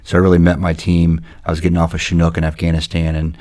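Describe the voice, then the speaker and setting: A man's voice: sits low, monotone, quick pace, a little mellow. A man co-hosting a podcast, talking casually in a dry, matter-of-fact way. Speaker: podcast co-host.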